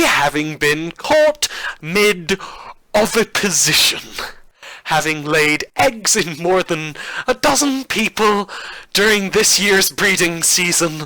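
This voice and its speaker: Man playing a whimsical, sardonic radio host with swooping pitch. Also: sharp tone, no echo, exaggerated voice, low-quality recording. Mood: dry, mocking. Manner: theatrical, arch, dryly ironic delivery. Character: whimsical, sardonic male radio host, self-confessed killer. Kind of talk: scripted satirical radio news monologue in fiction podcast.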